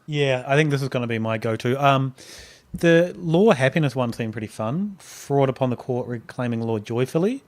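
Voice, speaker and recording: A man's voice: mid-range voice, expressive, moderate pace. A man co-hosting a podcast, chatting casually and joking around. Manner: joking, easily amused, chatty. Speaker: podcast co-host. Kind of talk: casual banter.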